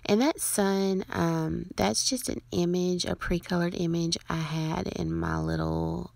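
A woman narrating her card-making process as a voice-over, in a relaxed, rambling way with frequent ums. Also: deep voice, vocal fry, no room sound.

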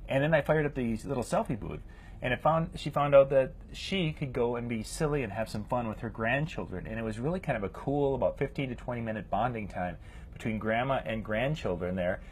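A middle-aged man, a DJ, telling a touching story in a warm, storytelling way over a webcam at home. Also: medium pitch, a little fast, a little hiss or hum. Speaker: DJ with photo booth. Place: home room.

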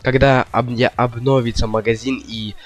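A man's voice: mid-range voice, little pitch movement, moderate pace, soft background music. A young man giving casual gameplay commentary, rambling indecisively and slangily to his viewers. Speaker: gaming video host.

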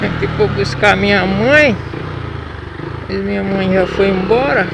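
Slangy young Brazilian motovlogger, gravelly and high. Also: male voice, a little slow. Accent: Brazilian Portuguese, Minas Gerais. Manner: slangy, swears at drivers, irritated outbursts. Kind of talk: casual running commentary while riding. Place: city streets outdoors on a motorcycle. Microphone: helmet or action camera mic. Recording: loud road and engine noise.

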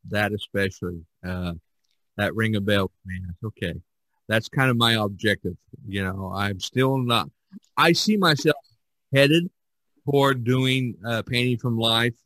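Low-pitched man in casual conversation. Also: fairly bright tone, no echo, low-quality recording. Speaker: podcast host. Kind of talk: casual chat about painting.

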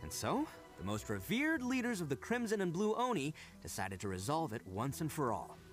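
An actor voicing a brash, proud, earnest young oni gang boss in an anime game, telling things colloquially with dramatic emphasis. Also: male voice, fairly high pitch, huge pitch swings, moderate pace. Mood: storytelling, earnest. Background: game music.

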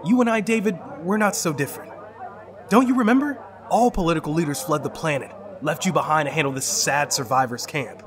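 A man's voice: a little high, a little fast, a faint crowd, lightly acted. A man playing a desperate, abandoned young heir in an apocalyptic sci-fi audio drama, pleading and emphatic. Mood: persuasive. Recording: dramatic music underneath.

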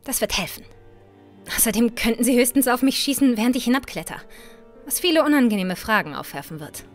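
A woman's voice: fairly high pitch, expressive, a little fast, faint music behind, natural voice. A woman playing a headstrong, impatient riverboat adventurer in a fantasy audio drama, defiant and emphatic. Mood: determined. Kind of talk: fan-made fantasy audio drama acting.

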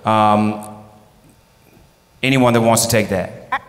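A man's voice: on the low side, fairly even intonation, slow pace. Courteous, firm male moderator, echoey hall. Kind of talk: moderating a live panel. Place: large conference hall stage at podium.

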